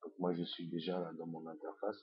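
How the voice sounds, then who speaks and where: A man's voice: deep voice, monotone, fairly quick, slightly rough, highs cut off. A man with a head cold, giving a hesitant screencast tutorial as an online course instructor, with many fillers.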